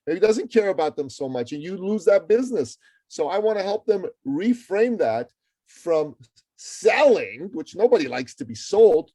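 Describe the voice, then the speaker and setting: Emphatic, dark-voiced man from Iran. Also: fairly high pitch, no echo. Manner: energetic, emphatic, repeats words as he speaks. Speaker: guest, author and entrepreneur. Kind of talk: interview conversation.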